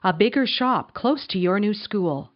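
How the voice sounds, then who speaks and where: Woman reading as a gentle, reassuring mother. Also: mid-range voice, animated, a little slow, highs cut off, faint music behind, natural voice.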